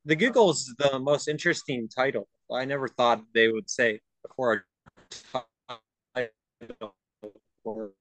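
A man's voice: medium pitch, a little slow, fairly bright tone, no room sound, poor audio. Young man chatting, close dry sound. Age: young adult. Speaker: podcast guest. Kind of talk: casual fan chat.

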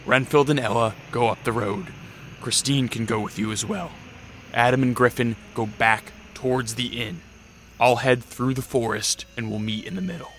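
A brooding vampire lord acted by a man in a radio drama, mid-pitched and commanding. The lines come terse and stubborn, with a little noise behind them. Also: fairly even intonation, normal speed, a bit bright, close to an everyday voice. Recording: faint background noise.

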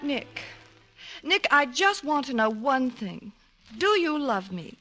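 An actress playing an impatient, excitable new bride in a 1950s radio comedy, shrill and melodramatic. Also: female voice, soft background music, heavily acted. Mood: pleading, hysterical. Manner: shrill, drawn-out, melodramatic delivery. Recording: noisy vintage 1950s radio recording.

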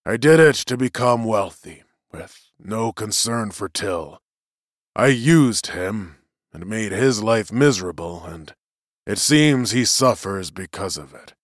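A man playing a remorseful former criminal in an audiobook, confessing humbly and haltingly. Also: lowish voice, lively intonation, dry recording, clean studio sound. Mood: contrite.

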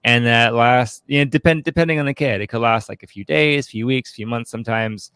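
A man co-hosting a podcast in a casual, reflective book discussion, haltingly repeating his words as he thinks. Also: mid-range voice, fairly bright tone, smooth, no echo. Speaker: podcast co-host.

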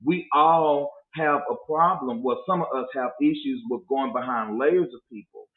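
A man's voice: mid-range voice, normal speed. A man in his forties holds forth on dating in an emphatic, preachy way. His voice comes through a tinny, phone-like line and echoes around the room. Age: 40s. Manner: preachy, emphatic, slips into mock voices to make a point. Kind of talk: casual podcast chat about dating.

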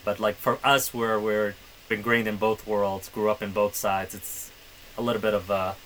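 A man's voice: fairly low pitch, flat delivery, normal speed, a bit bright, slight background noise, low-quality recording. A man who grew up in the Middle East, co-hosting a podcast and reflecting in casual conversation. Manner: reflective, hedging with "I think". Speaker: podcast co-host.

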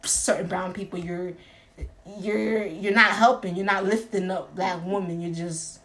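A woman's voice: on the low side, fairly even intonation, measured pace, fairly bright tone, slight background noise. A woman in a casual, opinionated chat, talking emphatically and conversationally with plenty of slang. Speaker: co-host or panelist.

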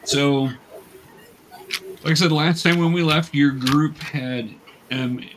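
A man's voice: a little high, steady tone, slow pace, a little hiss or hum. A man acting as game master, casually narrating and patiently explaining the game to new players in an encouraging way.

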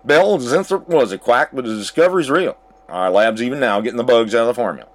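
A man's voice: mid-range voice, fast talker, close to an everyday voice. A man playing a smug, ruthless corporate boss with a pompous, sardonic manner in a campy audio drama. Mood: smug, dismissive.

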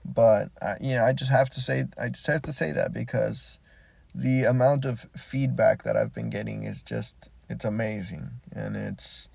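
Laid-back gravelly podcaster in a parked car. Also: male voice, medium pitch, fairly even intonation, normal speed, darkish tone, no room sound. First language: Spanish. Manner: rambling, restarts often, laid-back. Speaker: podcast host. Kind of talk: casual solo podcast monologue.

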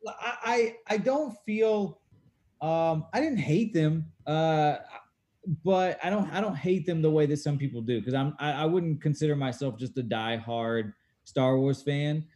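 A man's voice: on the high side, measured pace, a little mellow. Laid-back man in his thirties. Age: 30s. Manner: laid-back, self-mocking storyteller. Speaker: guest. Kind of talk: casual chat.

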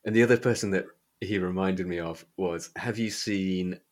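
Deep-voiced Englishman chatting about books. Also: normal speed. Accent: English East Midlands. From Derbyshire, England. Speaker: podcast host. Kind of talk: casual book discussion chat.

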